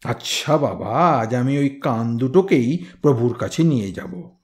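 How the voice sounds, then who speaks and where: Storybook ghost warrior, played by a man: grim, commanding, mid-pitched, muttering with slow menace. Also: lively intonation, a little mellow, close to an everyday voice. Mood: puzzled, menacing. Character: ghostly samurai messenger, menacing and grimly puzzled.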